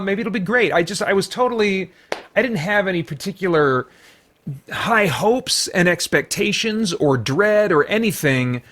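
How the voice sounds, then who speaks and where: American guy doing an off the cuff film review, voice a bit on the high side, halting, keeps searching for the right words. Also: fairly bright tone. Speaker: movie review host.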